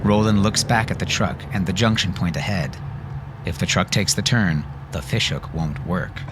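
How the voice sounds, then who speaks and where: A man's voice: sits low, moderate pace, noisy background. A man reading dramatic scripted narration as an audiobook narrator.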